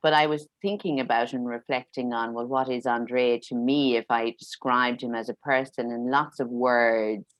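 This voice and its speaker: A woman hosts a podcast, warmly effusive in her introductions and chatty. Her voice sits very low, and it's recorded dry and close with no room sound. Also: steady tone, normal speed, few pauses, dry recording. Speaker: podcast host. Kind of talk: casual chat.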